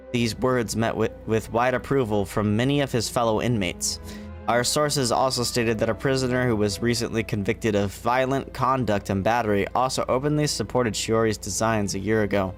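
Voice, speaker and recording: A man livestreaming a video game, reading its text aloud in a theatrical way. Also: mid-range voice. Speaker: gaming livestreamer.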